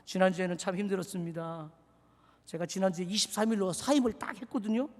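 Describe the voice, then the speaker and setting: A pastor from Seoul in his forties preaching a sermon, swinging from shouted exhortation to hushed, confiding asides. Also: high-pitched, steady tone, fast talker. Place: church sanctuary. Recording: reverberant church hall.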